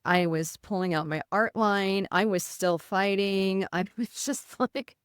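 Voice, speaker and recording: A middle-aged woman nurse with a Midwestern American accent, speaking with a voice that can sound slightly rough, telling a personal story in an interview. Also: medium pitch, a little slow, no room sound, clean studio sound. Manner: earnest, vivid retelling with quoted inner thoughts. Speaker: nurse, podcast guest.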